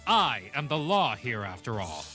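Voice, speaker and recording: Male actor as proud king, imperious, pompous, lively intonation, bright tone, comic dub, faint music behind. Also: mid-range voice, measured pace. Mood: commanding, proud. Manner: pompous, lofty, declamatory. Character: proud, boastful young king of ancient times, imperious but amused. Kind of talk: scripted comic dub acting.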